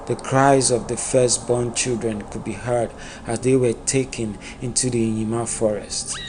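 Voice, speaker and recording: A man narrating a dark epic folktale in a solemn, dramatic storytelling manner. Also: mid-range voice, steady tone, normal speed, noisy background.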